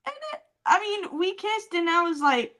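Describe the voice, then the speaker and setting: A woman's voice: extremely high pitch, normal speed, a bit bright. A woman podcast co-host chatting casually and telling comic stories with teasing, dry sarcasm. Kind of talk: casual comedic chat and storytelling.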